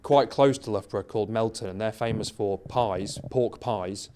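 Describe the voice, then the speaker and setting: A man's voice: on the low side, normal speed, warm tone. A man who teaches English, chatting casually and rambling with many restarts. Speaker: English lecturer. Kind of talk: casual chat. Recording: faint background noise.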